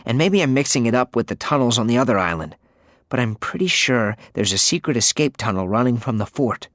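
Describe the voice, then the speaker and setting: A man's voice: medium pitch, animated, brisk, a bit bright, no room sound, clean studio sound, lightly acted. A man playing an anxious, earnest teenage boy who talks with urgency.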